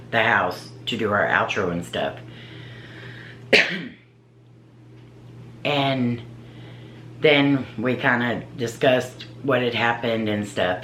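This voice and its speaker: A woman with a deep, low voice, a paranormal investigator telling her own story in a casual, earnest, halting way. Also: a bit bright, slight background noise. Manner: earnest, halting, searching for words. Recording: roomy echo.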